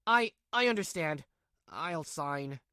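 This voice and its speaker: A woman playing a young cheating husband, low pitch, dry close sound, pleading, manga dub. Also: expressive, slow, sharp tone, dry recording, exaggerated voice. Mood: eager, nervous. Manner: pleading, hesitant. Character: weak-willed, two-faced young cheating husband.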